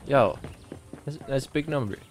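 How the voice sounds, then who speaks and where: A man's voice: medium pitch, normal speed. A young man chatting casually while playing a co-op game, joking and laughing often. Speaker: gamer streamer. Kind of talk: casual co-op gaming chat. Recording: game sound and noise behind voice.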